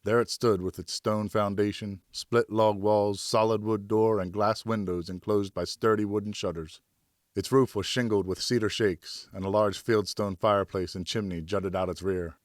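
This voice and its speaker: A male audiobook narrator with a fairly deep voice. He tells a historical story in the first person, expressively and deliberately, in a very dry recording. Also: fairly even intonation, no room sound. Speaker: audiobook narrator, professional voice actor.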